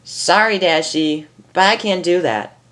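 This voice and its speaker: A woman's voice: lowish voice, a little slow, fairly bright tone, pitched above the performer's own voice. A woman voicing a bubbly, chatty, rule-minded female pony baker from a cartoon, with a singsong delivery. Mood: apologetic.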